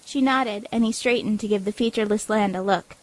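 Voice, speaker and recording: A woman's voice: mid-range voice, a little fast, rough recording. A woman reading scripted story narration as the narrator of an audio drama.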